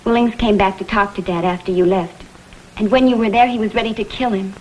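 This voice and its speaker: A woman's voice: mid-range voice, steady tone, a little fast, a little mellow, close to an everyday voice, rough recording. Actress as anxious young woman, faint background noise. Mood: troubled. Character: anxious, direct young daughter seeking the truth. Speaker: actress in a crime drama. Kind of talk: vintage American TV crime drama dialogue.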